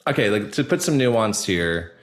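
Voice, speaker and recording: Low-voiced man talking casually, halting. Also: animated, fairly bright tone. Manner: halting, informal, heavy use of 'like'. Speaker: actor, podcast guest. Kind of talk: casual personal interview talk.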